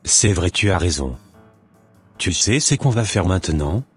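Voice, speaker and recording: A man voicing a calm, devout young suitor with a patient, measured manner in a scripted cartoon. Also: sits low, husky, no room sound, faint music behind, exaggerated voice. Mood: calm, hopeful.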